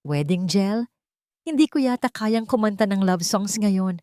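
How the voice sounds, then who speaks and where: A woman's voice: medium pitch, a little slow, dark tone, smooth, no echo, close to an everyday voice. Downcast young single mother, hesitant and worn down, acted by a female narrator, audio drama, close dry sound. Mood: weary, doubtful. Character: heartbroken, weary young single mother, a singer.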